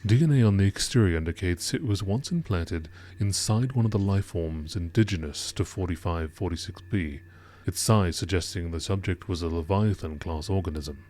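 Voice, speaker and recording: A man narrating scripted science-fiction lore entries in a measured, formal documentary style. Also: sits low, darkish tone.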